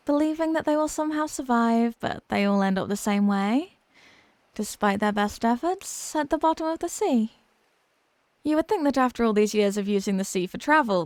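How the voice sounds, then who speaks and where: A woman's voice: fairly high pitch, no echo, natural voice. A woman playing an obsessive, haughty young mermaid princess in a roleplay ASMR drama, sweetly smug and condescending.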